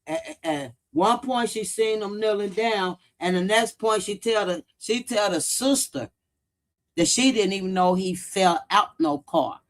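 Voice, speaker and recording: A man's voice: sits high, measured pace, a bit bright. A man hosts a casual livestream. He talks bluntly, cusses freely and hammers points by repeating them, often answering viewers as he goes. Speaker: true-crime commentary livestream host.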